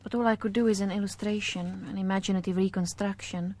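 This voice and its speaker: Actress doing a calm arty woman in a radio drama, normal pitch, bit of noise behind. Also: fast, close to an everyday voice. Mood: subdued. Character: calm, willing woman artist helping police.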